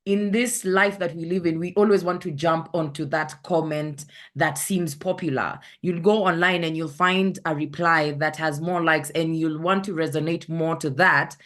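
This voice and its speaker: A woman's voice: sits low, steady tone, moderate pace, few pauses, a bit bright. A woman with a Kenyan accent, a content creator talking casually on an online panel, often searching for the right word. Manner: chatty, searches for words, acts out anecdotes.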